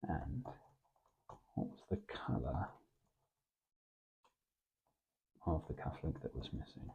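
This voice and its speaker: A man acting as a composed British intelligence officer interviewing a recruit in an ASMR role play. His voice is very low and gravelly, with a lot of breath in it. He speaks formally, in a measured hush, and the sound is thin and band-limited. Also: lively intonation, narrow, tinny audio, heavily acted. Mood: probing, formal. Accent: British English. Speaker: ASMR performer.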